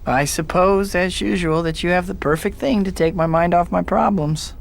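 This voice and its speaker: Earnest, higher-voiced young superhero. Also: male voice, moderate pace, creaky, slight background noise. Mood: dry, wry. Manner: earnest, dry. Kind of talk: video game voice acting. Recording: prominent music behind the voice.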